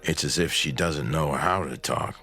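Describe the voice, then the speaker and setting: Grave practical husband, extremely low gravelly voice, terse, matter-of-fact, old radio drama, faint music. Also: male voice, normal speed, sharp tone, heavily acted. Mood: troubled, pondering. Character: grave, practical small-town husband taking charge in an emergency.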